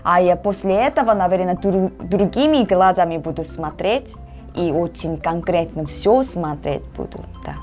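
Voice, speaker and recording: A woman in her thirties from South Korea with a Korean accent, giving casual reaction commentary in halting, simple phrases of a second language. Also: fairly low pitch, expressive, darkish tone. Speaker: reaction vlogger. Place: small room at home, indoors. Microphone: lapel mic. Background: film soundtrack music.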